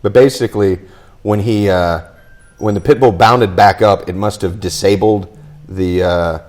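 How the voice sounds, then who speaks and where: A man's voice: fairly low pitch, steady tone, measured pace, a little mellow, faint music behind. A man hosting a podcast, telling stories in a jokey, casual way with some swearing. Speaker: podcast host. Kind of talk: casual chat and banter.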